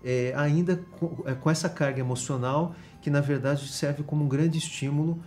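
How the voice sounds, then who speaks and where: A Brazilian man, a guest professor, explaining in an interview with frequent pauses and restarts. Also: a little high, fairly even intonation, a little mellow, faint music behind. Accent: Brazilian Portuguese. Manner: explanatory, many fillers and restarts.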